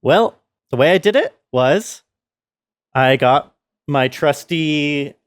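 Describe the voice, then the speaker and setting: A man explaining his sound design work in a measured behind-the-scenes walkthrough. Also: fairly high pitch, lively intonation, no echo, clean studio sound.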